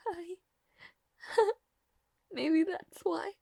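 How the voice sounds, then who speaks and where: A woman playing a timid, devoted young maid in a dramatic audio roleplay, with halting, stammering, breathy speech. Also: very high voice, darkish tone, no room sound, clean studio sound, heavily acted. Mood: tearful, bitter.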